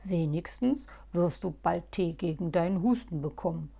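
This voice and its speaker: A woman voicing a dry, unruffled bearded male gnome, a bit lower than her narration. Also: lively intonation, normal speed, creaky, mellow, slightly rough, exaggerated voice. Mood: dry teasing.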